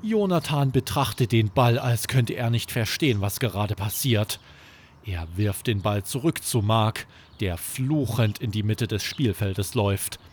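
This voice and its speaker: A man narrating a scripted children's bedtime story as podcast host, with expressive, dramatic storytelling. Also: on the low side, measured pace, a little hiss or hum.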